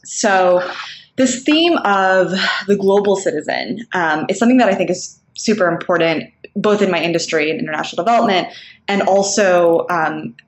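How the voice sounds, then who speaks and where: A young woman with an American-sounding accent and a fairly low voice talks in a thoughtful, measured way, pausing on ums as she works through her points. The room is echoey, with a faint hiss of background noise behind her. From Singapore. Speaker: nonprofit co-founder. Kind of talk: recorded talk for an online summit.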